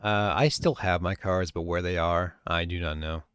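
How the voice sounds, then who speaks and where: A man co-hosting a podcast, chatting casually with a friend, animated and swearing freely. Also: sits low, moderate pace, clear, resonant tone, no echo, studio quality. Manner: animated, swearing, jumps into mock voices. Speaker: podcast co-host. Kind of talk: casual chat among friends.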